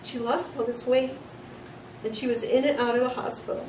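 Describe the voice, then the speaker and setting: A woman's voice: on the high side, steady tone, moderate pace, pitched above the performer's own voice, natural voice. Woman voicing an upset, plaintive young schoolboy. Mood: tearful, worried. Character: upset young schoolboy, worried about his mother. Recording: roomy, distant mic, noisy.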